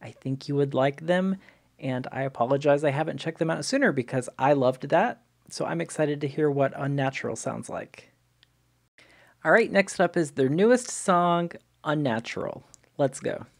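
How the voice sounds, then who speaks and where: A man's voice: on the high side, lively intonation, moderate pace, vocal fry. A young man with a stuffy nose, hosting a music video reaction and chatting enthusiastically into a studio mic at home. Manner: enthusiastic, chatty, frequent "wow, okay". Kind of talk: casual reaction commentary. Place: home studio room with brick-pattern backdrop. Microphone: studio mic on stand with headphones.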